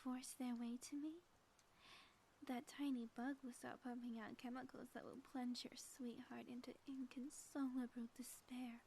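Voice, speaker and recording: Woman playing a grandiose, high-voiced female supervillain. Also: level tone, gravelly, a little mellow, slight background noise. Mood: smug, theatrical. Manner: grandiose, teasing, dramatic pauses. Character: theatrical, gloating female supervillain playfully taunting a superhero. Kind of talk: scripted audio roleplay, ASMR.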